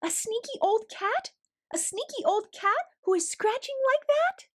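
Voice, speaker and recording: A woman performs a small, jumpy male mouse from a picture book. His voice is high and leaps around in pitch. He is timid at heart, yet puts on a show of courage. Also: very animated, a little slow, heavily acted. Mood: frightened, calling out. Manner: rhyming, exclamatory questioning.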